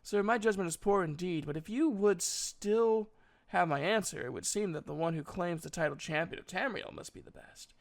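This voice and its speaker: A man's voice: high-pitched, moderate pace, gravelly. Man acting an earnest, humble young prince. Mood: thoughtful, humble. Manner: hesitant, formal, old-fashioned courtesy. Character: earnest, humble nine-year-old prince.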